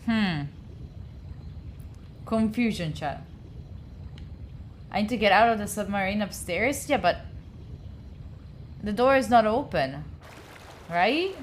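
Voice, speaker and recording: A woman's voice: medium pitch, animated, takes it slowly, long pauses, a little hiss or hum. Chatty Italian woman streaming a game. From Italy. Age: young adult. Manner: chatty, talks to chat, cheers on her character with sudden squeals. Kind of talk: live gameplay stream chat.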